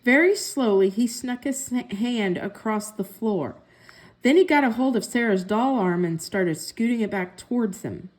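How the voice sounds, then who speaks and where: A woman reading a children's book aloud as a storyteller, with expressive narration. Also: on the high side, moderate pace, rough recording. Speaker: story reader.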